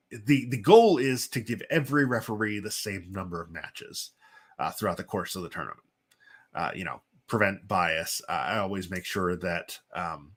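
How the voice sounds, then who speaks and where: A man with a congested, sniffly voice from a chest cold, hosting and giving casual, halting play-by-play commentary on simulated wrestling. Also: lowish voice, fairly bright tone. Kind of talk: casual live play-by-play commentary with chatty asides.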